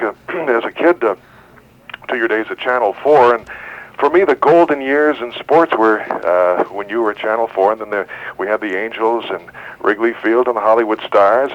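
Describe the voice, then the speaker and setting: A middle-aged man calling in to a radio show for a casual chat over the telephone. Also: mid-range voice. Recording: dull lo-fi phone line.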